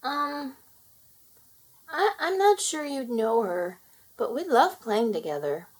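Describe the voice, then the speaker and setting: A sweet, secretive little girl from a storybook, as a woman reader puts her on. Her voice sits high and bounces around in pitch. She chatters in an earnest, childlike way. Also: sing-song, takes it slowly, breathy edge, full character voice. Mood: hesitant.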